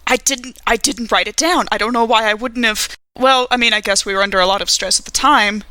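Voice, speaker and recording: A woman's voice: on the high side, brisk, sharp tone, dry recording. A woman chatting and improvising in character as a player in a tabletop horror roleplaying game.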